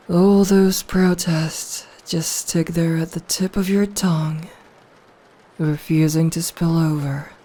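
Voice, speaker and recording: Very slow, hushed seductive vampire woman, acted out by a woman. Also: deep voice. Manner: slow, hushed, teasing, coaxing. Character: seductive, possessive female vampire hypnotizing her victim. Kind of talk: intimate ASMR audio roleplay.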